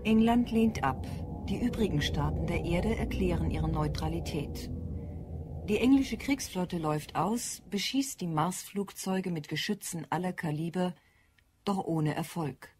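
A woman narrating a radio play like a factual news report, in crisp declarative sentences. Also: fairly low pitch, measured pace. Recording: studio voice over clear music bed.

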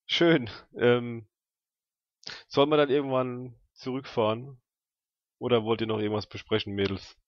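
A man's voice: medium pitch, steady tone, moderate pace, pauses often, no echo, highs cut off. A man with a mid-range voice is recorded very dry, with little room sound. He chats casually as a podcast host and player in a role-playing game, leaning into crude, jokey banter.

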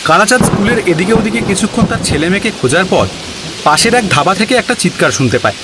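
Brisk, high-pitched animated male storyteller. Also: over loud music. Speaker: narrator. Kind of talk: scripted cartoon story narration.

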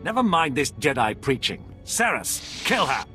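An actor playing a haughty, panicky Imperial official with a sneering, indignant manner in a video game. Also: male voice, mid-range voice, very animated, moderate pace, bright, forceful tone. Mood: sneering command. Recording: music playing behind the voice.

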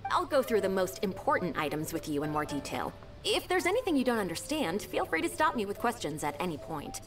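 An actress playing a polite young female secretary in a game, crisp and businesslike. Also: mid-range voice, close to an everyday voice. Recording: distant voice with game music behind.

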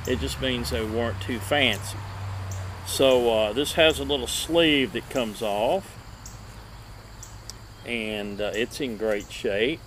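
Middle aged guy doing a casual unboxing outside, takes his time, halting, some background noise. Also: medium pitch. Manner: slow, halting, lingering over words. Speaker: vintage radio collector and video host. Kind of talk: casual unboxing and show-and-tell. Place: outdoor table in a wooded yard. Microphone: camera a few feet away. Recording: steady outdoor background noise.

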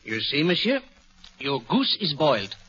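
An actor playing a fussy, clever Belgian detective with a French accent, in theatrical 1940s radio acting. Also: male voice, a little high, unhurried, over loud music. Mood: probing. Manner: theatrical, lively, coaxing and ironic. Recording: dull old radio broadcast.

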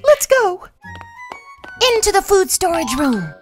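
A woman's voice: very high voice, wildly swooping pitch, slow, heavily acted. A woman voicing a playful little royal child in a children's cartoon, bold yet easily spooked, with an exclamatory, childlike manner. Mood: excited. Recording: music under the voice.

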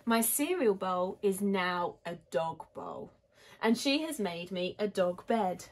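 A woman in her thirties reading a children's picture book aloud in an animated, expressive way, now and then addressing the listener. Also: mid-range voice, slow pace. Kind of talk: animated bedtime story reading. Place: small bedroom, indoors.

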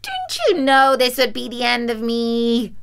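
A woman voicing a wicked, cunning old witch from a storybook, with drawn-out, melodramatic delivery. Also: fairly high pitch, very animated, vocal fry, fairly bright tone, smooth, pitched above the performer's own voice, full character voice. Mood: wailing despair. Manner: drawn-out words, cackling and wailing. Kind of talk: storybook character voice.

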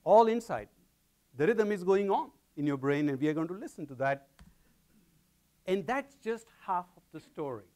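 A middle-aged man with an Indian accent giving an animated public science lecture in a large lecture hall, pacing and gesturing. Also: high-pitched, moderate pace, pauses often.

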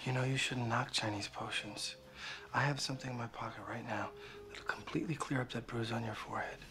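An American actor in his forties playing a calm, menacing investigator with a shady past, terse and coolly confident, in a film. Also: medium pitch, a little fast, close to an everyday voice. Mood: dryly taunting. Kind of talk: film acting. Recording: film dialogue over noisy restaurant ambience.

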